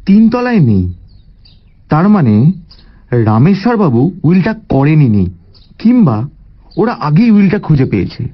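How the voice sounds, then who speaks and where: An actor playing a puzzled, questioning police inspector in a dramatised audio story. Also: male voice, on the high side, very animated, a little slow, pauses often, highs cut off. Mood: doubtful.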